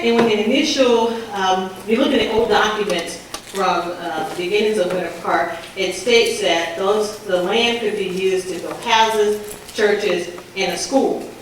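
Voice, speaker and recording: Booming-voiced woman lecturing. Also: a little slow. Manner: conversational, faith-infused, says she has a booming voice. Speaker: researcher giving a public talk. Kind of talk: lecture with slides. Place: large echoey community room. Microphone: handheld or stand mic, moving off it at times. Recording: distant, echoey, hissy room recording.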